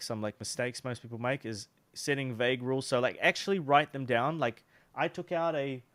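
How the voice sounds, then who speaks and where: A man's voice: mid-range voice, clear, resonant tone, dry recording. A young man with a New Zealand accent giving casual advice to camera in a loose, chatty way, with lots of fillers and restarts. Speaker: online business coach.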